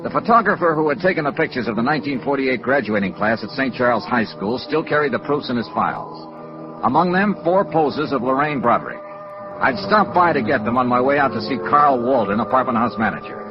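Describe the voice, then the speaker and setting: An American man playing a brisk, hard-boiled insurance investigator in a 1950s radio noir drama, clipped and matter-of-fact. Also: mid-range voice, expressive, natural voice. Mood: businesslike. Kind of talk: 1950s radio noir acting and first-person narration. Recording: muffled vintage radio recording with music.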